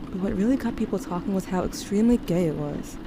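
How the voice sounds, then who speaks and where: A woman's voice: medium pitch, fast, noisy background, natural voice. A woman playing a guilt-haunted teenage narrator in an audio drama, confessional, introspective and wry. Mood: wry, reflective. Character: guilt-haunted, guarded teenage narrator grieving a dying loved one.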